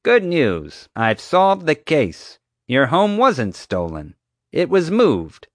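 A man's voice: medium pitch, big pitch swings, normal speed, no room sound, studio quality, pitched above the performer's own voice, close to an everyday voice. A man voicing a rude, pompous, dim-witted woman security officer in an audiobook, with an officious, accusatory way of talking. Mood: smug, bossy. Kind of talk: audiobook character voice.

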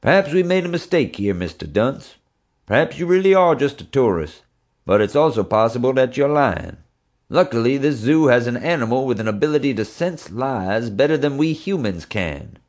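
A man's voice: medium pitch, sing-song, normal speed, a little mellow, pitched above the performer's own voice, close to an everyday voice. A man voicing a tough, heavyset police officer who talks sternly in a raised voice. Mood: mock polite.

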